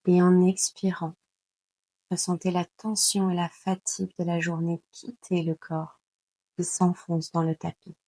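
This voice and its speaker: A woman leading a guided yoga nidra meditation in a calm, soothing, unhurried way, leaving long pauses between instructions. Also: fairly low pitch, no echo, rough recording. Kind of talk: slow guided meditation narration.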